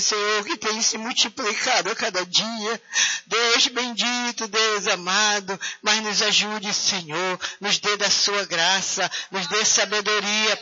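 A man's voice: high-pitched, sharp tone. A man leading a fervent intercessory prayer, pleading and calling out again and again between phrases. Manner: pleading, with constant repeated invocations. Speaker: prayer leader. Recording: dull lo-fi recording.

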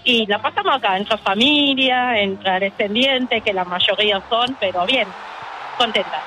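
A Cape Verdean woman in her late forties answers a radio interview in short, plain phrases. She speaks with a Cape Verdean accent and a bright tone. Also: on the high side, unhurried, faint music behind, poor audio. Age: late 40s. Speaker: interviewee, fan.

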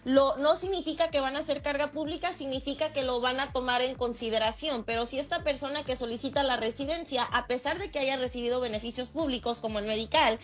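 Female legal expert for radio interview, Mexican accent, higher-pitched, plain-spoken explanatory delivery. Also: brisk, few pauses, a bit bright. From Michoacán, Mexico. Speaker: lawyer, expert guest.

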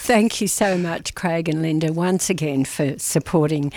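A woman presenting a community radio show, feeling a bit croaky, chatty and quick to laugh. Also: deep voice, darkish tone, dry recording. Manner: chatty, giggly, rambling. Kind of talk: casual community radio chat. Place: radio studio.

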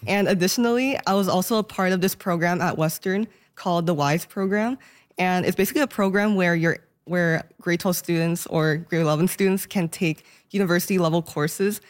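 A young woman just out of high school, speaking softly with light fillers as a radio interview guest. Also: fairly quick. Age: young adult. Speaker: scholarship-winning high school graduate.

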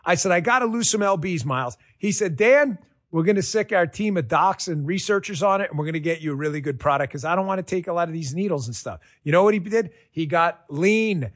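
A man's voice: high-pitched, animated, fairly quick, hardly any pauses, a bit bright. A man giving a casual, chatty endorsement, telling it like a story.

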